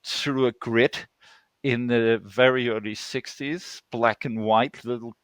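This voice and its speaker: An older man with a Danish accent speaks in a conversational storytelling way, feeling his way toward the right words. His pitch sits in an ordinary middle range, and the sound is dry and close, recorded on an online call. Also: steady tone, takes it slowly, a bit bright, dry recording. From Denmark. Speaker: guest storyteller.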